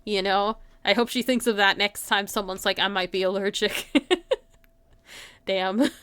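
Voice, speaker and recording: A woman's voice: medium pitch, unhurried, fairly bright tone, smooth, no echo. A woman livestream host chatting casually with her viewers in an informal, giggly way, saying "like" often. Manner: giggly, informal, frequent filler "like".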